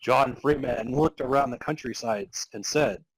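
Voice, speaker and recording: A man's voice: medium pitch, a little slow, low-quality recording. A man reading amateur fan fiction aloud as narrator, in a mock-serious dramatic storytelling style. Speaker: podcast host reading as narrator.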